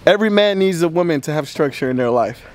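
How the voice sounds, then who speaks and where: A man's voice: a little high, expressive, fast talker. A man in his thirties giving a casual, joking house tour, talking in loose slang. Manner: laid-back, joking, slangy. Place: large house, indoors and by the pool. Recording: echoey rooms with music behind.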